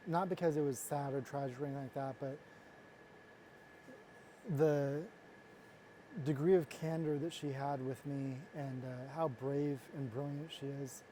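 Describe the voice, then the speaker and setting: A man from the Los Angeles area hosting a casual interview, talking earnestly and haltingly with restarts. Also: medium pitch, fairly even intonation, mellow, slight background noise, low-quality recording. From United States, Los Angeles area. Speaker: host, interviewer.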